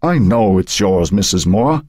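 A man's voice: low voice, animated, a little slow, a little mellow, no echo, studio quality, voice pushed up. A man voicing a dogged fireman in an audiobook story, firm and insistent. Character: dogged, firm fireman handling a distressed resident.

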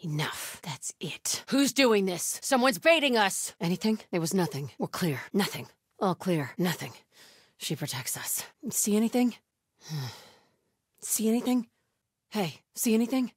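A woman playing a fierce, devout cult warrior in a video game, speaking in terse combat and stealth barks with a husky voice. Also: mid-range voice, sing-song, unhurried, no echo, studio quality. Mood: wary, hushed. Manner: terse, clipped phrases.

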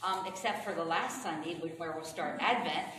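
A woman pastor speaking informally to her congregation in a chatty, folksy, unhurried way, as she welcomes them and leads prayer. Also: fairly low pitch, fairly bright tone. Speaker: pastor leading a church service. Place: large echoing room with a congregation. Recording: roomy, distant, noisy church service recording.

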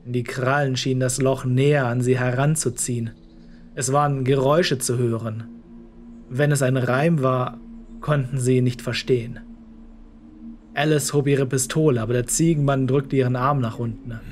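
Ein Mann liest als Erzähler eine Geschichte vom Skript. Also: male voice, medium pitch, fairly even intonation, normal speed, a little hiss or hum. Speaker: narrator. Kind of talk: scripted story narration.

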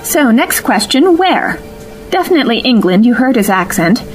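A high, animated young female voice played by an actress for a sci-fi audio drama companion. She is dry, sarcastic and easily exasperated, with faint music behind her. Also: moderate pace. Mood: brisk, wry. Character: sharp, sardonic young female time-travelling companion to the Doctor.

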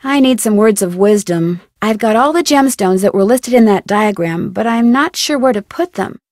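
A woman playing a young American amateur detective in a video game, curious and polite, speaking clearly in an inquisitive way. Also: medium pitch, lively intonation, moderate pace, darkish tone, no room sound, studio quality, close to an everyday voice. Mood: curious, puzzled. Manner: inquisitive, clearly enunciated, courteous. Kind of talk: video game dialogue acting.

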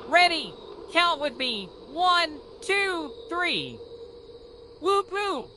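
A woman voicing an excitable, easily scared little boy in a children's story. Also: very high-pitched, drawn-out words, slight background noise, pitched above the performer's own voice, heavily acted. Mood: excited.

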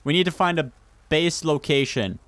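A man's voice: fairly high pitch, moderate pace, heavy background noise. A man talking casually with friends while they play a multiplayer video game. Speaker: gamer playing with friends. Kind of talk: casual multiplayer gaming chat.

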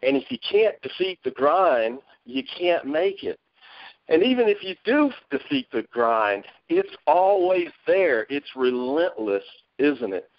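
A man's voice: fairly high pitch, very animated, a little slow, no echo. Man on a dry, muffled phone line. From Mississippi, United States. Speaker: guest motivational speaker. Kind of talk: folksy storytelling pep talk. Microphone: phone conference call.

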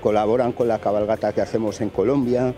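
A man's voice: lowish voice, fairly even intonation, quick pace, over music. A middle-aged man, president of an association, giving a casual interview outside a fair. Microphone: reporter's handheld mic.

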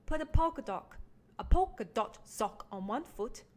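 A woman reading a children's picture book aloud as a teaching artist, with expressive emphasis for young listeners. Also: fairly high pitch, normal speed. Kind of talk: animated children's storybook read-along.

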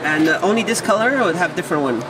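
A man's voice: high voice, animated, racing, rough recording. A man asks casual, on-the-spot product questions as a video interviewer, in clipped and simplified English. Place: indoor exhibition hall booth. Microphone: behind the camera, on-camera mic. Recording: busy trade show crowd noise.

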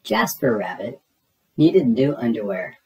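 Slow, suspenseful male storybook narrator. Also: mid-range voice, sing-song. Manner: suspenseful, drawn-out emphasis. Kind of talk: dramatic children's read-aloud narration.

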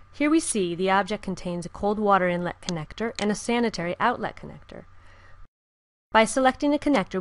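A woman giving calm, scripted step-by-step narration for a software tutorial. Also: medium pitch, slight background noise. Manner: calm, even, step-by-step instruction. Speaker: software instructor. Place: voice-over with screen recording.

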